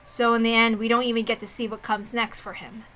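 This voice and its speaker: A woman's voice: on the high side, level tone, brisk, bright, forceful tone, a little hiss or hum. Woman as a weary, wistful young girl.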